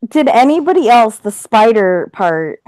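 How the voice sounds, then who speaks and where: A woman's voice: fairly high pitch, very animated, measured pace, a little mellow, no room sound. A woman chatting casually in an online book club, putting questions to the group in an informal way.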